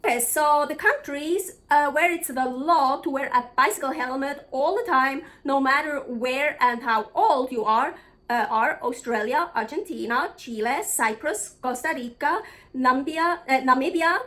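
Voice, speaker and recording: A woman's voice: high voice, normal speed, a bit bright. A woman from Switzerland, chatting casually in a travel vlog, blunt and frank, swearing freely and thinking aloud. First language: Swiss German. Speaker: travel vlogger.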